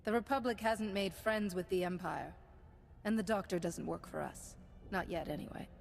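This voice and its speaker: Assured female Jedi, tough, direct, fairly low, sci-fi game. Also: normal speed, vocal fry, soft background music. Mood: firm. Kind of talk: game cutscene acting.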